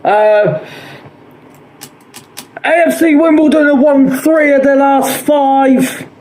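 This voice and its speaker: A man with a hoarse, fading voice hosts his own show, predicting football scores in a chatty, repetitive way and filling pauses with "uh". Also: extremely high pitch, fairly even intonation, a crowd in the background. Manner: chatty, quotes odds, repeats himself, frequent "uh".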